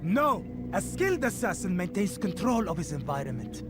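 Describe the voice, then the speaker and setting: A scolding rival assassin. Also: male voice, high voice, big pitch swings, normal speed. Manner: exasperated, reproachful. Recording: game cutscene over loud music score.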